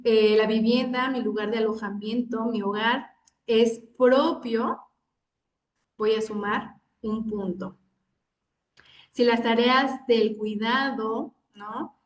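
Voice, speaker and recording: A woman's voice: on the high side, distant mic. Woman teaching in an echoey room. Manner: steady, explanatory, with many fillers. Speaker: psychologist giving a workshop. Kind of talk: workshop talk. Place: conference room.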